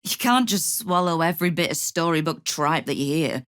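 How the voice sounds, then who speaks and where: A woman's voice: low voice, expressive, normal speed, fairly bright tone, smooth, no room sound. An actress playing a shrewd, hard-edged female crime guild boss in a video game, with a dry, wry manner and veiled threats. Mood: sardonic. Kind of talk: scripted video game voice acting.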